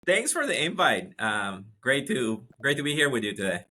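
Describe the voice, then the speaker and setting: A man's voice: mid-range voice, very animated, moderate pace, sharp tone. A man from Ecuador, a guest telling his career story in a casual interview, with lots of fillers and restarts. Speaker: guest, HR executive.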